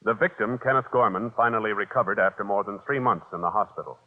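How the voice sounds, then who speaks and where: A man with an American accent announcing formally in 1950s radio style, measured and official. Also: lowish voice. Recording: muffled vintage radio, long reverb.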